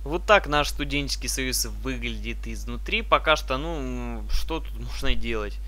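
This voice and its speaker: A man's voice: medium pitch, normal speed. Tired young man chatting over a game. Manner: chatty, excitable, thinks aloud. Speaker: let's play host. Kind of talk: casual game commentary.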